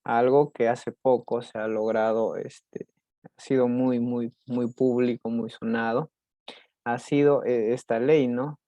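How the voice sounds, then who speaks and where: A young Peruvian man with a Peruvian Spanish accent, a student giving a recorded class presentation, halting with many restarts and fillers. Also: mid-range voice, flat delivery, dark tone, no room sound, highs cut off. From Peru.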